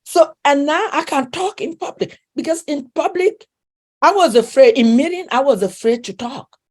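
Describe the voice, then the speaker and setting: Coach lady w/ an accent, bit high voice, earnest, lots of restarts. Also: moderate pace. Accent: non-native English accent. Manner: earnest, with frequent restarts and soft laughs. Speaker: relationship coach. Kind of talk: conversational interview.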